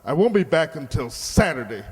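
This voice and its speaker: Brusque, impatient boss for radio comedy, male actor: sarcastic, raised pitch, extremely varied inflection. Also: sing-song, moderate pace, breathy edge. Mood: exasperated. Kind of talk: old-time radio comedy acting. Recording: echoey hall, long reverb.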